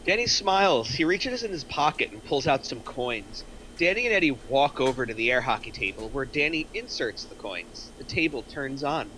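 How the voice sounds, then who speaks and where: A man's voice: mid-range voice, very animated, moderate pace, bright, forceful tone, a little hiss or hum, poor audio. A man reading scripted stage directions aloud in narration at a screenplay table reading.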